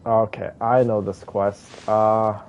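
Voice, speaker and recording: A man's voice: lowish voice, level tone, slow, a little mellow. A man livestreaming a game with casual, chatty and effusive commentary, talking directly to his viewers. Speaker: game live streamer. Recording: dull, band-limited stream audio. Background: music.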